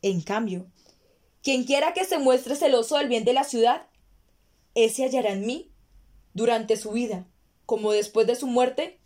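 A woman reads the part of a proud ruler of ancient Thebes in a Greek tragedy. The voice sits a little high, and the delivery is formal, grave and oratorical, like a stern king addressing his elders. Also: measured pace, pauses often, a little mellow. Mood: solemn, firm. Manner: solemn, declamatory, formal oratory. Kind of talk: dramatic reading of classical Greek tragedy.

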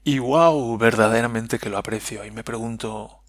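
A man with a Spain Spanish accent talks through his thoughts on his own podcast, pausing and restarting as he muses aloud. His voice has a gravelly, creaky edge. The recording is close and dry, with no room echo. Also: mid-range voice. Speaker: podcast host. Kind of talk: reflective personal podcast monologue.

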